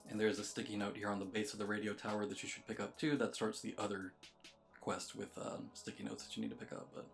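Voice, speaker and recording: Male game-guide narrator, low and flat in delivery, matter-of-fact and casual, with faint music underneath. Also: fast. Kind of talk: casual video game walkthrough commentary.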